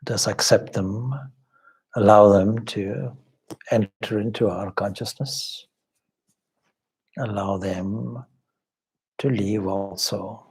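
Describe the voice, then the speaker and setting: A man leading a guided meditation as a spiritual teacher, speaking in measured, deliberate short phrases with long pauses and formal, contemplative wording. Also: lowish voice, steady tone, a little mellow, slightly rough, poor audio. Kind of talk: guided meditation, slow spoken instruction.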